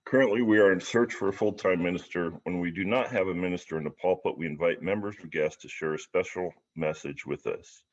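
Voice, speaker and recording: A man with a very low voice acts as host of a service. He reads out a formal welcome and announcements in a somewhat halting way. The sound is dull and lo-fi, as on a video call.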